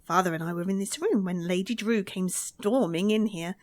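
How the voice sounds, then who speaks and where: A woman's voice: medium pitch, moderate pace, a little mellow, close to an everyday voice. A woman playing a professor's earnest, polite young daughter in an old-style radio mystery. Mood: eager, helpful.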